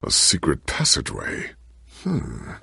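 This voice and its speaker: A proud warrior hero from a video game, with a gravelly, creaky voice that swings wildly in pitch. He speaks in grand, formal phrases, earnest and theatrical, in a very dry, close recording. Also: wildly swooping pitch, unhurried, sharp tone, no room sound, heavily acted. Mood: somber, reflective. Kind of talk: dramatic video game character acting.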